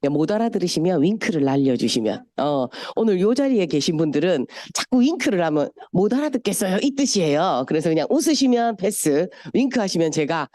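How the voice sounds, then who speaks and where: A woman's voice: on the low side, sing-song, brisk, few pauses, mellow, dry recording, clean studio sound. A middle-aged woman from Busan with a Gyeongsang accent, giving a chatty motivational seminar talk and telling stories. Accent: Gyeongsang Korean. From Busan, South Korea. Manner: chatty, storytelling, sometimes mimics voices. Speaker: speaker at a business seminar.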